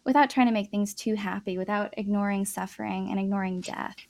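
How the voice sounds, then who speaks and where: Female podcast host, conversational interviewer, gravelly fry, dry studio-like sound. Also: mid-range voice, fairly even intonation, a little fast, darkish tone, dry recording.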